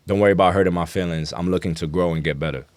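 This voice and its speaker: Deep-voiced New man, casual and slangy. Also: fairly even intonation, rapid-fire, no echo. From New York City. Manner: slangy, earnest, explanatory. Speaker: rapper, interview guest. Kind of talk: casual interview chat.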